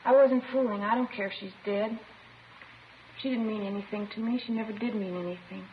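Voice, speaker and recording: A woman's voice: medium pitch, fairly even intonation, fairly quick, natural voice. Sullen schoolgirl, played by a woman, old radio. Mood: detached, sullen. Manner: petulant, emotionally detached. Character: sullen, defensive, childlike schoolgirl confessing to police. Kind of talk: 1950s radio crime drama acting. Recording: muffled, noisy old 1950s radio recording.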